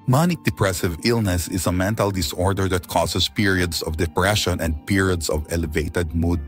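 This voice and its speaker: Deep-voiced, measured male doctor, played by a man. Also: close to an everyday voice. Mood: clinical, grave. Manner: clinical, measured, explanatory. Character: calm male physician explaining a grave diagnosis. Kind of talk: Filipino audio drama dialogue. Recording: music bed under the voice.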